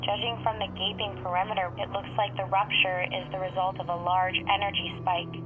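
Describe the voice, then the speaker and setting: A woman playing a brisk, commanding warrior investigator in a science fiction audio drama, speaking tersely. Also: medium pitch, level tone, background noise, close to an everyday voice. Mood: analytical.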